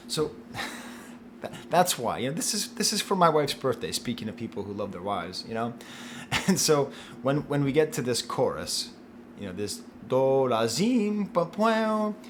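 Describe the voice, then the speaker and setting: Chatty American man, animated swings. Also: noisy background. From United States. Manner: chatty, laughing, swings from low murmur to excited high bursts. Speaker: album review host. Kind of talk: casual video review.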